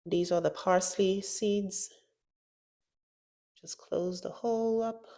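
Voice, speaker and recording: A woman's voice: mid-range voice, steady tone, slow pace, mellow. A woman with a stuffy nose from a cold, chatting casually through a gardening how-to vlog and saying "y'all" often. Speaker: gardening vlogger.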